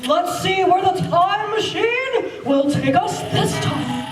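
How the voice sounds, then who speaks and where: A woman's voice: very high voice, expressive, slow pace, full character voice. A woman playing an upbeat time-machine tour guide in an amateur radio play, announcing theatrically to the listeners. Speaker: narrator and guide. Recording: echoey room, distant mic, music behind.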